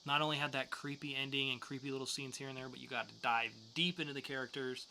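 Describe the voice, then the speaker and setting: A man's voice: mid-range voice, fairly even intonation, fast talker, bright, forceful tone, a little hiss or hum. A man co-hosting a podcast, giving reviews in a casual, conversational way. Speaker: podcast co-host reviewing comics.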